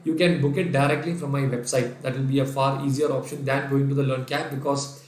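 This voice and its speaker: A man's voice: mid-range voice, level tone, brisk, roomy sound. A man from Kerala with an Indian English accent and Sindhi as his first language, giving a live online revision lecture. He dictates the working step by step and reads out viewers' names, answering them as he goes. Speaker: finance lecturer.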